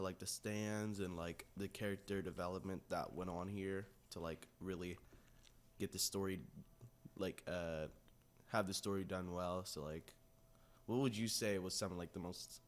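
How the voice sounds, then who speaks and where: A man's voice: low-pitched, moderate pace. A young man co-hosting a podcast in informal, loosely phrased casual chat. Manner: informal, peppers talk with "like". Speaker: podcast co-host. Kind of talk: casual chat, asking questions. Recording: faint background noise.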